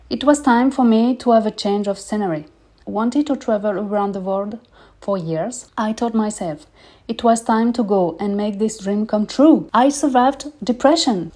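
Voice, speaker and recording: A woman in her thirties with a French accent, talking candidly and earnestly in English that is not her first language, with little grammar slips. Her voice is mellow and soft-edged, sitting at an ordinary middle pitch for a woman. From France. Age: 30s. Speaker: podcast host. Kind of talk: personal podcast monologue.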